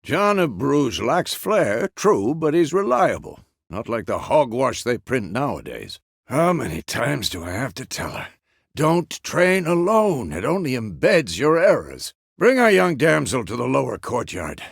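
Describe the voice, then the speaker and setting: An actor playing a gruff, stern but fatherly old monster hunter in a video game, with a gravelly voice and a terse, weary, commanding manner. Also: medium pitch, huge pitch swings, unhurried, no room sound, studio quality, full character voice. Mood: dry, matter-of-fact, then stern, grumbling. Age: older. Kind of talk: dramatic game character dialogue.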